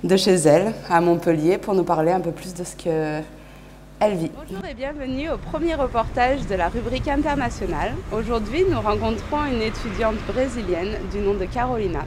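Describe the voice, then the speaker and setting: A young woman hosts a student TV segment and talks with her guest. Her voice has a mid pitch and an easy, friendly delivery, broken up by frequent hesitations. Faint noise runs in the background. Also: a little fast. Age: young adult. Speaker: student TV presenter and reporter. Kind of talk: interview and live studio presentation.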